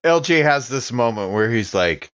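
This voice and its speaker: A man's voice: medium pitch, animated, normal speed, a bit bright, muffled recording. A man playing a character in a tabletop roleplaying podcast, chatting casually in and out of character. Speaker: player in an actual-play podcast.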